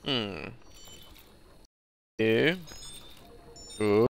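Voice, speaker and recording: A man's voice: fairly low pitch, drawn-out words, gravelly, a little hiss or hum. A man with a cold, congested and sniffly, chatting casually through a video game and mumbling to himself. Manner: chatty, self-deprecating, mutters to himself. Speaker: gameplay commentator. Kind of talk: casual let's play commentary. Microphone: desk microphone.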